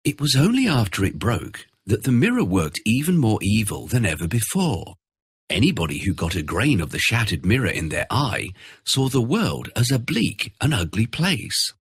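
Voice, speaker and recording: A man narrates a children's fairy tale with theatrical flair. His voice is low and very raspy, and it swings dramatically in pitch. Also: moderate pace, no room sound, clean studio sound. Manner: expressive, theatrical storytelling.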